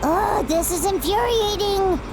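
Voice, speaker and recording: A woman voicing a tiny, excitable childlike fairy companion in a video game, squeaky, chatty and dramatic in her exclamations. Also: a little slow, noisy background. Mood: frustrated.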